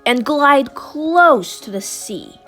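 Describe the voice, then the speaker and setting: A woman reading a picture book aloud in a gentle, expressive way. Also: high voice, slow pace, soft background music. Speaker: storybook reader.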